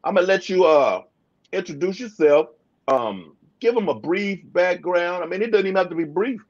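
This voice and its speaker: Chill guy hosting a fish stream from his tank room, kinda high voice, muffled video call audio. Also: animated, normal speed. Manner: laid-back, drawling, shout-outs to viewers. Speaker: livestream host. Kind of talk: casual livestream chat. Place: home fish room with aquariums. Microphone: webcam video call.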